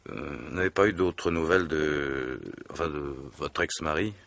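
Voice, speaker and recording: An actor playing a married man courting hesitantly, coaxing in halting phrases, in radio drama style. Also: rumbling low, a bit bright. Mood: wistful, confiding. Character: married man, hesitant suitor showing off his country house. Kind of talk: 1950s French radio drama acting.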